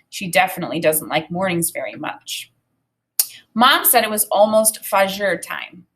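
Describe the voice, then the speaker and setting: A woman in her thirties reading a children's book aloud as a teacher, expressive and deliberate, pausing to think aloud. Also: mid-range voice. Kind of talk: read-aloud lesson with think-aloud commentary. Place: small indoor room with desk and bookshelf.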